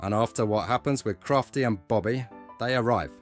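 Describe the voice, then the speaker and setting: A man's voice: medium pitch, fairly quick, soft background music, natural voice. A man narrating and playing teasing cartoon animals in an amateur comic dub. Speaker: narrator and voice actor.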